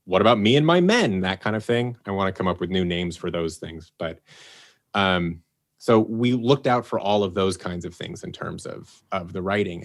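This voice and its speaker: A man talking casually in a podcast interview about writing an audio drama, rambling a little and acting out what he and his team said. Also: fairly low pitch. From Los Angeles area, United States. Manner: rambling, restarts and fillers, animated when quoting himself. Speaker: producer and writer of an audio drama.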